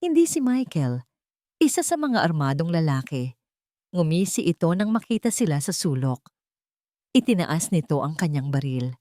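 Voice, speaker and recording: A woman narrating a dramatic scripted story in a deliberate, suspenseful way. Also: deep voice, sing-song, mellow, no echo, clean studio sound.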